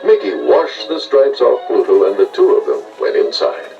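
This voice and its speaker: A man reading scripted children's read-along narration with expressive storytelling delivery. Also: medium pitch, moderate pace, gravelly, recorded from a distance, muffled recording, faint music behind.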